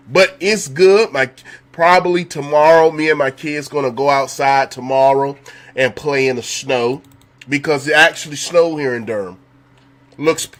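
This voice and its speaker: Slow-talking hoarse male host. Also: a little high. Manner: relaxed, chatty, repeats questions for effect. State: slightly hoarse. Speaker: call-in show host. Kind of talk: casual live-stream talk. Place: at home.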